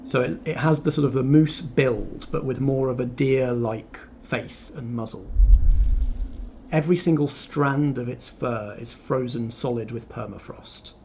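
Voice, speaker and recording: Man narrating a tabletop fantasy game in measured phrases. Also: mid-range voice, a little mellow, slight background noise. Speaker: game master.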